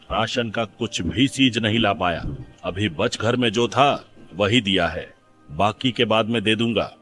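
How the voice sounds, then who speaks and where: Male actor as miserly rich villager, fairly low voice, dismissive, condescending, cartoon story, light music. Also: fairly bright tone. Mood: offhand. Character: stingy, self-serving rich village landowner. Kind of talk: Hindi cartoon moral-story dubbing.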